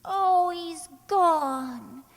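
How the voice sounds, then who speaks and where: Radio comedy secretary, female actor: soft-hearted, stubborn and dim, high slow voice. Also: heavily acted. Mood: pleading, tender. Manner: naive, earnest, muddles her words. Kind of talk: old-time radio comedy acting. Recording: echoey hall, long reverb.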